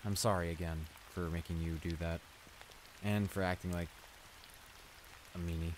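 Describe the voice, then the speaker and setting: A man's voice: deep voice, moderate pace, lightly acted. A man playing a shy, flustered school bully in an audio roleplay, stammering and hesitant as he apologizes and gets embarrassed. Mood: sheepish, apologetic. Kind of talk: intimate improvised audio roleplay acting. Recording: rain noise behind the voice. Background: steady rain.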